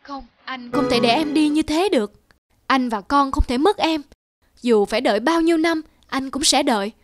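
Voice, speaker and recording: A high voice for a middle-aged cartoon father , acted by a woman. He is earnest, emotional and devoted to his family. Faint music plays behind him. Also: normal speed, close to an everyday voice. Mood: resolute. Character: distressed devoted husband and father of middle age. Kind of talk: animated moral-story dialogue.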